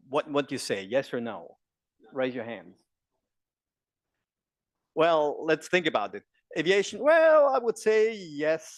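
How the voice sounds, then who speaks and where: A man's voice: medium pitch, dry recording. A man with an Austrian German accent, a native German speaker, presenting at a conference and joking with the audience. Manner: jokey, engages the audience with questions.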